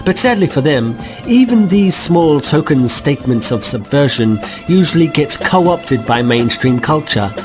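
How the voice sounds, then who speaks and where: A man giving measured, dryly ironic narration in a lecturing tone, as the voice of a guidebook in a comic radio drama. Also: fairly high pitch, animated, over music. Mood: wry. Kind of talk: scripted dry narration.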